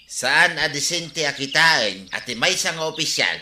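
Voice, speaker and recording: Forceful, disapproving village official. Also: male voice, a little high, lively intonation, moderate pace. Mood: reproachful. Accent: Ilocano. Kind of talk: Ilocano radio drama acting. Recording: roomy echo, faint music, birdsong.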